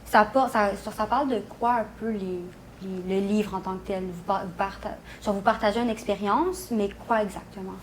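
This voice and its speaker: A woman's voice: mid-range voice, steady tone, normal speed, few pauses, a little hiss or hum, low-quality recording. A young woman with a Quebec French accent, chatting casually and informally, full of little filler phrases. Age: young adult. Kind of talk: casual interview chat.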